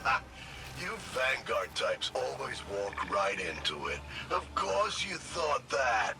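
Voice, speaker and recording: A man's voice: medium pitch, big pitch swings, takes it slowly, gravelly, heavy background noise, exaggerated voice. A man playing a gloating, cynical, mischievous monster henchman in a video game, mocking and theatrical. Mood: gloating, taunting. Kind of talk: video game dramatic dialogue. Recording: loud game music behind.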